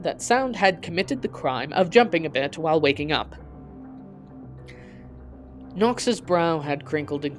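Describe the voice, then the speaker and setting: A woman with a low voice narrates a personal story as a podcast host. Her delivery is scripted, literary and dramatic, with faint music playing behind her.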